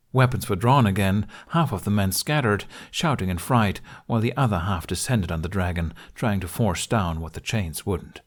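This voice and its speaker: Low-voiced man narrating dramatic fiction. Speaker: audiobook narrator.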